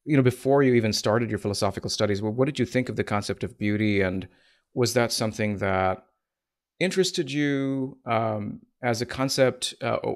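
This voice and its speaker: A man hosting a podcast interview, asking questions in a casual, halting, tentative way. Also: on the low side.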